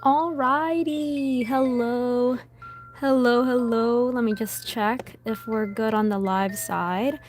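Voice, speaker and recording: A woman's voice: a little high, fairly even intonation, slow pace, faint music behind. A young woman hosting a casual livestream, chatty and greeting viewers by name, while she is losing her voice. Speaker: livestream host.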